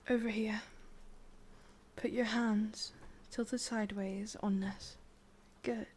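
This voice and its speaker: A woman with an English accent playing a possessive, lovesick witch in a whispered ASMR roleplay, coaxing softly and intimately, close to the microphone. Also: medium pitch, steady tone, takes it slowly, slight background noise, close to an everyday voice. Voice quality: breathy, whispery.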